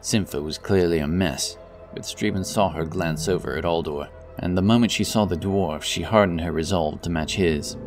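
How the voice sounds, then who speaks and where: Casting: deep male storyteller, solemn and dramatic, for scripted fantasy narration over strong music. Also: moderate pace, few pauses.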